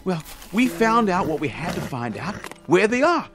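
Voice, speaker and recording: An actor playing a frightened young Confederate private who whines and pleads nervously in an old radio drama. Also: male voice, sits high, sing-song, normal speed, music in the background. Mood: pleading. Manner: jittery, whining and pleading. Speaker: private soldier. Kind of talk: 1960s radio drama acting.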